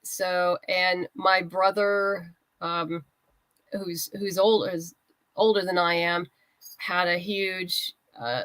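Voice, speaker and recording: A woman's voice: on the low side, a bit bright, dry recording. A woman chatting casually as an interview guest, talking in an enthusiastic, chatty way. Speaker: guest, writer and comics creator.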